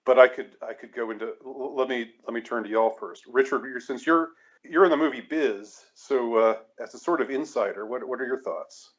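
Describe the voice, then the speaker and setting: A man at mid pitch hosting a podcast, casual and halting, often restarting his sentences. His audio sounds muffled and lo-fi. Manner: hesitant, casual, many restarts. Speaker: podcast host. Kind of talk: podcast discussion.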